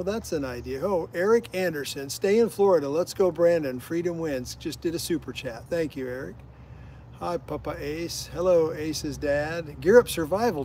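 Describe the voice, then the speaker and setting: Middle-aged guy, kinda high voice, folksy rambling stories on a fishing livestream by a canal. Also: darkish tone, slight background noise. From Iowa. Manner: folksy, rambling storyteller who drifts onto side tracks. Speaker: the host's father, guest storyteller. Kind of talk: casual livestream chat, reading out viewer comments. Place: outdoors beside a canal.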